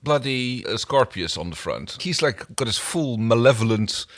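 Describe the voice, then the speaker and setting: A man's voice: medium pitch, animated, moderate pace. A man co-hosting a podcast, recapping a TV episode in casual chat with a wry, jokey manner. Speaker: podcast co-host.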